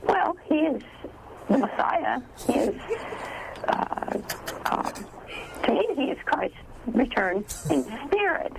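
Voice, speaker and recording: A man voicing a spacey young woman fan who talks in slow, halting, airy phrases. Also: very high voice, big pitch swings, vocal fry, heavy background noise, voice pushed up, heavily acted. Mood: adoring.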